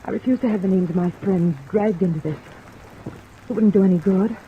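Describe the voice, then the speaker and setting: Woman acting defensive mystery woman, evasive, indignant, mid-pitched, old-time radio noir, narrow tinny vintage recording. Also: brisk, close to an everyday voice. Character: guarded, defensive blonde mystery woman under questioning. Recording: thin phone-like vintage radio, rain noise.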